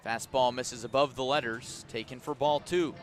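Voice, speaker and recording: A man calling baseball play-by-play live on the radio, measured in delivery and punchy on the action. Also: mid-range voice, steady tone, over music. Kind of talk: live radio sports commentary. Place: outdoor ballpark.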